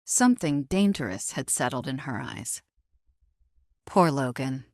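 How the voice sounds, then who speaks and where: A woman's voice: rumbling low, lively intonation, measured pace, no room sound, studio quality. A woman narrating a scripted audio story in a dramatic storytelling style.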